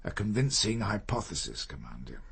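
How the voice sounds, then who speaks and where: An actor playing a proud, reserved older gentleman in a radio drama, speaking formally and deliberately, with guilt under the surface. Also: lowish voice, very animated, vocal fry, slightly rough, full character voice. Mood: measured, confessional.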